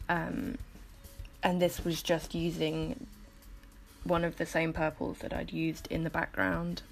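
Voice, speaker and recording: A woman with a slightly low, gravelly voice, croaky as if she has just woken up. She narrates her art process casually and chattily, like an informal vlog voiceover, with music playing behind her. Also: completely flat delivery, takes it slowly, poor audio. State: croaky morning voice, just woke up. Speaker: artist making painting process videos.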